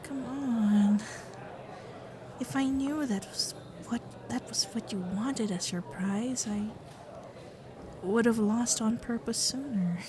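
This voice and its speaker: A woman voicing a sweet, playful fox-spirit girlfriend in an ASMR roleplay, coy and affectionate, with soft hesitations. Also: a little high, slow pace, long pauses. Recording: festival crowd and fireworks sound effects.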